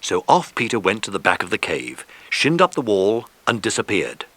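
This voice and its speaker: Bright, animated male storyteller. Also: fairly low pitch, normal speed. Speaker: narrator. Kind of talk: scripted children's story narration. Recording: faintly noisy cassette transfer.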